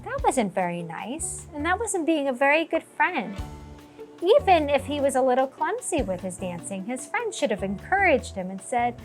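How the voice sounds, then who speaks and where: A woman narrates a children's picture book in a high voice with lots of rise and fall. She takes her time over the phrases, and music plays behind her. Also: big pitch swings, moderate pace. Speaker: storytime teacher reading aloud. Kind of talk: expressive children's storybook narration.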